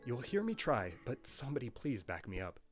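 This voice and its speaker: A man reading a scripted narration with a wry, playful touch, his pitch moving in animated swings. Soft guitar music runs faintly behind him. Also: a little fast. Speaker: narrator of a language history video.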